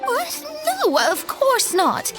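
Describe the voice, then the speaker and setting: A woman voicing a proud, polite young female astrologist in a video game, refined and expressive. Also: high voice, measured pace, over music. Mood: flustered, defensive. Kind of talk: animated video game voice acting.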